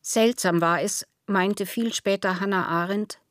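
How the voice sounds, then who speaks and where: A woman's voice: mid-range voice, steady tone, measured pace, no room sound, studio quality. A woman reading scripted literary prose as a narrator in a radio play.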